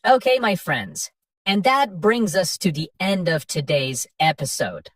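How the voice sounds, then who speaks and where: A woman narrates an English lesson for beginners in short, simple sentences, taking her time with each one. Her voice sits a little low but moves up and down with lively emphasis. She sounds set back from the mic in a dry space with no echo. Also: distant mic. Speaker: English teacher. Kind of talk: slow scripted lesson narration for learners.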